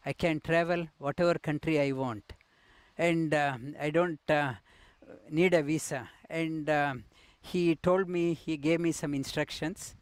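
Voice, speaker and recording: A middle-aged man from India, a yoga teacher, telling his life story with many pauses, fillers and restarts. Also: fairly high pitch, steady tone, clean tone, dry recording. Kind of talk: personal life story interview.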